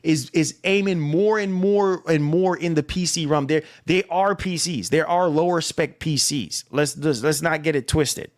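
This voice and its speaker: A man's voice: on the high side, a little slow, few pauses. A man in casual livestream chat, speaking emphatically in slang and repeating himself as he goes. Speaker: livestream host.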